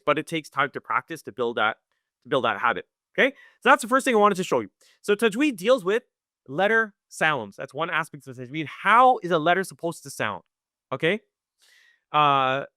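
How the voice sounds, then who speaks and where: Bright, clear-voiced man teaching recitation. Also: fairly high pitch, big pitch swings, dry recording, studio quality. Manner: conversational, checks in with "right?" often; demonstrates recitation sounds slowly. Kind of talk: live webinar lesson.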